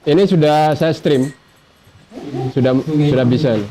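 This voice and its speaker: A man's voice: fairly high pitch, normal speed, dark tone, a little hiss or hum, low-quality recording. A man with an Indonesian accent giving short technical updates as a livestream operator.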